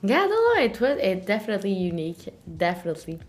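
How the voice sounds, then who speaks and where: A woman's voice: mid-range voice, wildly swooping pitch, fast talker, soft background music. A woman hosting an interview, asking casual questions with frequent fillers and light laughter. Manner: giggly, hesitant, with many fillers. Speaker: host.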